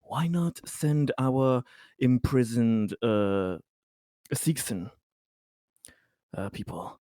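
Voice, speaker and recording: A man playing a tabletop roleplaying game in character, speaking deliberately and haltingly, often repeating his words. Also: medium pitch, lively intonation, mellow, no room sound, clean studio sound.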